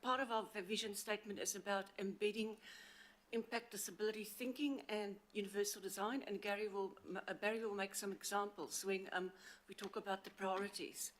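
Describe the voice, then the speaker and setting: A woman with a non-local accent speaks into a handheld mic in a council chamber. She is earnest and formal, pausing often with fillers as she presents. Her voice sits at an ordinary middle pitch. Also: steady tone. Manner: earnest, halting, many fillers. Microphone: handheld microphone.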